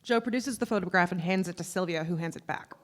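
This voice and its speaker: A woman's voice: on the low side, fast talker, natural voice. A woman reading stage directions as neutral scripted narration in a live play reading. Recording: reverberant theatre hall, live stage.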